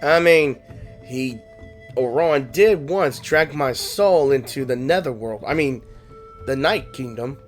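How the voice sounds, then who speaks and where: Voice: medium pitch, unhurried. A congested, tight-chested gamer giving playful, teasing casual commentary on a playthrough. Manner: playful, teasing, reads dialogue aloud. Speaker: game streamer. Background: game music.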